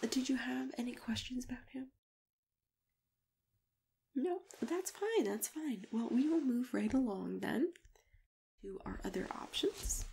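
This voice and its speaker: Asmr lady, soft breathy half whisper w lots of vocal fry, gentle halting consultant roleplay, typing and clicky noise close on mic. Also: mid-range voice. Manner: gentle, unhurried, halting, with small agreeing hums. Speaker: ASMR roleplayer acting as a monster matchmaking consultant. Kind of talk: soft-spoken ASMR roleplay, improvised one-sided client consultation. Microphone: close microphone.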